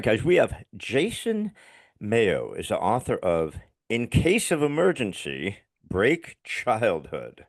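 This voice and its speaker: A low, gravelly older man with a slight lisp hosts a casual podcast interview. His voice swings widely in pitch as he talks with easy enthusiasm. The sound is dry and close. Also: no echo. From Long Island. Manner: enthusiastic, casual, calls guest buddy and dude. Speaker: podcast host. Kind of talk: casual podcast interview, reading a guest bio.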